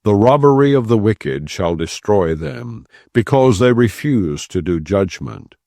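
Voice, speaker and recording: Male audiobook narrator, scripture reading, slow pace, lively rise and fall in pitch, solemn, measured. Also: no room sound.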